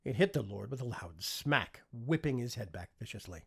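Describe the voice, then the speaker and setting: A man's voice: medium pitch, expressive, normal speed, creaky, a bit bright, husky. A man narrating fiction as an audiobook reader, in a dramatic, emphatic scripted style.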